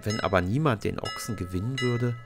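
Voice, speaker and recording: A man's voice: on the low side, a little fast, music in the background, natural voice. A man voicing a kind, confident little witch. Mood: sly. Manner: reassuring.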